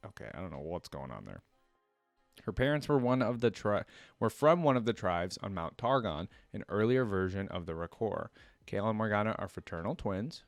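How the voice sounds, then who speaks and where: A man's voice: fairly low pitch, dry recording. A man hosting a reaction video, reading trivia aloud in a casual way with short asides to himself.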